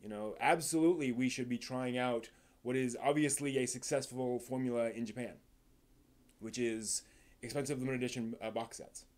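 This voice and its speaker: Rambling, halting man hosting a live stream. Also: mid-range voice, steady tone, darkish tone. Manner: rambling, halting, often restarts sentences. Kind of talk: casual live stream commentary on film and anime news.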